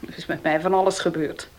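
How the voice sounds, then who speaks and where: A woman's voice: fairly low pitch, a little mellow, close to an everyday voice. An actress playing a defiant young working woman in a radio play, speaking in a tense, evasive and curt way. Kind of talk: Dutch radio play acting.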